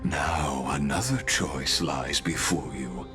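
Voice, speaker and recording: Game villain: scheming male advisor, extremely low, drawn-out formal delivery, music underneath. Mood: smooth, scheming. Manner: formal, deliberate, insinuating. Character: sly, obsequious male scholar-counselor, coolly scheming. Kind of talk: dramatic video game voice acting. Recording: music behind the voice.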